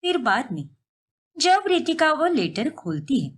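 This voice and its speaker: A woman reads scripted story narration. Her voice sits high and moves animatedly up and down as she tells the tale. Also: very animated, unhurried.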